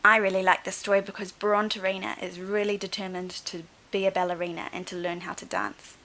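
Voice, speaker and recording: Woman, primary teacher, expressive storybook read-aloud, explaining things for young children, medium pitch. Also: brisk, low-quality recording. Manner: expressive, instructive.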